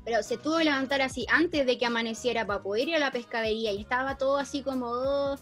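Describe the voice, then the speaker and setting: A woman with a Chilean accent in a casual discussion, explaining things in an animated, slangy, informal way. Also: high voice, fast, faint music behind. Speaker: teacher.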